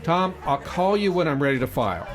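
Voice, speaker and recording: A man's voice: on the high side, big pitch swings, a little fast, some chatter behind. A man playing a wisecracking, old-fashioned newspaper reporter in a radio drama, narrating with hard-boiled, slangy wit. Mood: wry. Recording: roomy echo, faint music behind.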